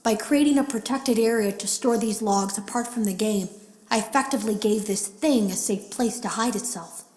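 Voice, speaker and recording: A nervous game tester played by a woman, speaking low and confiding in a mid-pitched voice as she records secret logs. Her words carry a roomy echo around them. She sounds watchful and resolute, like someone leaving warnings she fears will be found. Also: normal speed, natural voice. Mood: urgent, resolute. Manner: hushed, wary, confiding. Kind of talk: scripted game voice acting.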